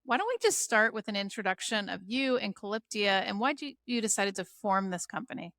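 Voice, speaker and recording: A woman's voice: medium pitch, lively intonation, fairly quick, a bit bright, no room sound, clean studio sound. A woman hosting an interview podcast in an upbeat, enthusiastic way. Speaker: podcast host.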